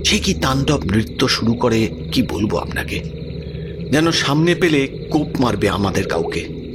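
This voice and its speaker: An actor playing a worried village man in an audio drama, earnest and expressive as he talks. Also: mid-range voice, measured pace, noisy background, close to an everyday voice. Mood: troubled, earnest. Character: worried village man recounting his cousin's frightening affliction.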